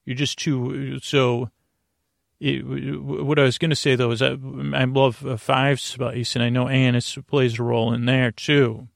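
A man hosting a sleep podcast, delivering a whimsical bedtime monologue in a halting, meandering way that drifts off into tangents. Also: mid-range voice, flat delivery, vocal fry, dry recording, clean studio sound.

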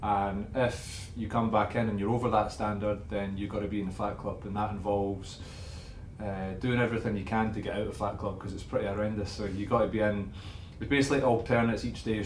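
A young man chats casually in a low, very even voice. The room echoes around him, with faint noise behind. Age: young adult. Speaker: co-host, professional rugby player. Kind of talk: casual chat. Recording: roomy echo with faint background noise.

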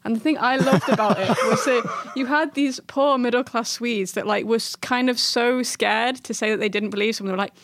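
A woman chatting casually on a podcast panel, animated as she tells anecdotes and acts out reactions. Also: on the high side, brisk, hardly any pauses. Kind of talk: casual chat, anecdote telling.

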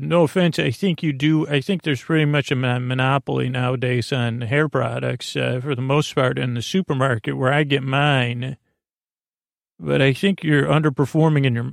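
A man hosting a bedtime podcast in a meandering, halting monologue, doubling back on phrases with deadpan whimsy. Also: medium pitch, creaky, no room sound, clean studio sound. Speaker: podcast host.

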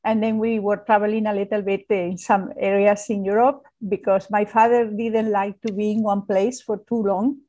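A woman's voice: medium pitch, level tone, moderate pace, few pauses, mellow, dull audio. A woman from Spain with a Spanish accent, a guest on an interview, searching for words and laughing often as she talks. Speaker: architect, guest. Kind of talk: interview conversation.

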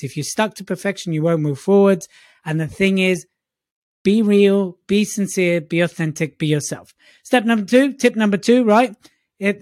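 A man's voice: high voice, darkish tone, no room sound, studio quality. A man co-hosting a podcast, giving emphatic motivational tips on public speaking and repeating key phrases for punch. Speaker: podcast co-host. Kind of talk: upbeat motivational tips chat.

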